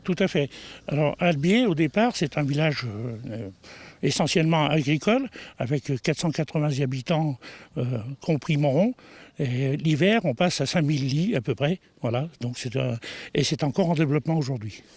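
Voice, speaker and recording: Higher-voiced man, dry sound. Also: expressive, normal speed, darkish tone, husky, no room sound. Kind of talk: informal interview answers.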